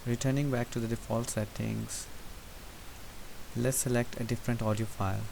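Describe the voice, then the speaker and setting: A man giving an unscripted, methodical software tutorial, explaining each step as he goes. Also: mid-range voice, moderate pace, vocal fry. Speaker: presenter demonstrating software. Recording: hissy recording with steady background noise. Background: hiss.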